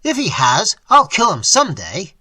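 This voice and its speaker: An excitable little male scientist, as a man reading the story puts him on, high and shrill as he shouts in fury. His voice leaps wildly up and down and stays bright and breathy. The sound is close and dry. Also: moderate pace, no room sound, exaggerated voice. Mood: furious.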